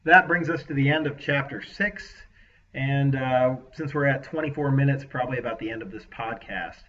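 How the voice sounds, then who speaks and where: A man's voice: medium pitch, normal speed. A man reading his own story aloud as narrator, recorded slightly distant with a dull sound. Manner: relaxed, hesitant chat with fillers after the reading. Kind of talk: audiobook narration.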